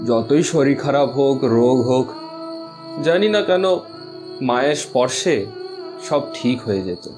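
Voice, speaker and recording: A man playing a lonely young village postmaster in an audio story, talking in an informal, familiar, unhurried way. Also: medium pitch, lively intonation, music in the background, lightly acted. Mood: nostalgic. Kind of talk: Bengali audio story acting.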